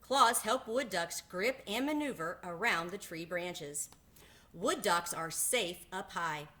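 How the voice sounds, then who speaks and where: A woman's voice: lowish voice, expressive, slow, bright, forceful tone. A woman reading a children's book aloud, as a teacher would to a class. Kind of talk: children's storybook read-aloud.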